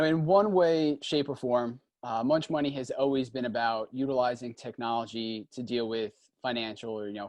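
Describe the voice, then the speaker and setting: Man, 20s, startup CEO, upbeat, mid pitch, dry close sound. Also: no room sound. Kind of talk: casual panel introduction.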